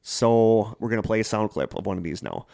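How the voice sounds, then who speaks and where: A man's voice: fairly low pitch, animated, a little fast, gravelly, mellow, dry recording, studio quality. A middle-aged man co-hosting a podcast, telling stories casually in an enthusiastic, rambling way. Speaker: podcast co-host. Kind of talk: casual conversational storytelling.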